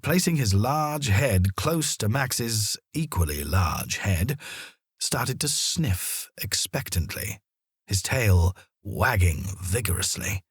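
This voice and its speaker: A man narrating a children's story in an expressive storytelling manner, with deliberate pauses. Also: on the low side, slightly rough, no room sound, clean studio sound. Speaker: audiobook narrator.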